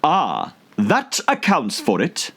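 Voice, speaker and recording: A man's voice: medium pitch, huge pitch swings, takes it slowly, a bit bright, breathy edge, pitched above the performer's own voice, full character voice. A man voicing a mad, touchy, mournful hatter with a theatrical, contemptuous manner in a storybook reading.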